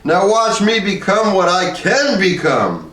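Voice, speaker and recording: A man's voice: high-pitched, sing-song, unhurried, fairly bright tone, voice pushed up, heavily acted. A man voicing a huge young rebel who has crowned himself emperor in a story reading, with a bellowing, commanding manner. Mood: bellowing, defiant. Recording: roomy, distant microphone.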